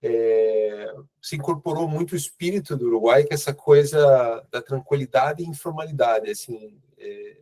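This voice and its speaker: A man with a Brazilian accent hosting a casual conversation, speaking informally with halting, repeated phrasing. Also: medium pitch, warm tone. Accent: Brazilian Portuguese. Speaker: host, immigration consultant.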